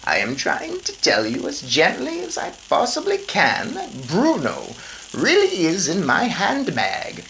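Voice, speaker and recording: Woman doing a slow, firm old grandmother. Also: high voice, wildly swooping pitch, vocal fry, fairly bright tone, a little hiss or hum, voice pushed up, heavily acted, rough recording. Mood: calm firm. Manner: slow and deliberate.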